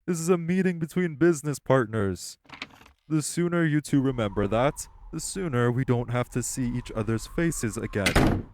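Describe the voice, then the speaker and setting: A man's voice: mid-range voice, expressive, moderate pace, a little mellow, slight background noise, lightly acted. An actor playing a composed, formal male crime ringleader who speaks in a stern, proper way. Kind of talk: audio roleplay acting.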